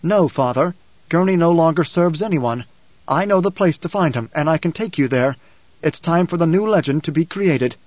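A man voicing a grave, resolute young son, a coolly prophetic desert mystic in an audiobook, measured and unyielding. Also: fairly high pitch, expressive, a little mellow. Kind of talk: audiobook character acting. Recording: dull cassette audiobook, roomy echo.

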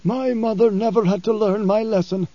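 A man's voice: steady tone, warm tone, no room sound, lo-fi sound. A man voicing a solemn, commanding blind preacher. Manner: solemn, measured, raised pitch. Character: blind old desert preacher, weary and commanding.

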